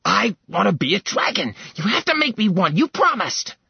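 Spoiled young prince, shrill and petulant, read by a man, husky, dry lo-fi sound. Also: big pitch swings, moderate pace, dry recording, exaggerated voice. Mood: whining.

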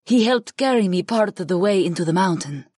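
A woman's voice: medium pitch, animated, brisk, a little mellow, breathy edge, no echo, clean studio sound. A woman voicing a wary, earnest young female hawk shapeshifter in an audiobook, with careful formal phrasing. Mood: worried, pleading. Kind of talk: audiobook character dialogue.

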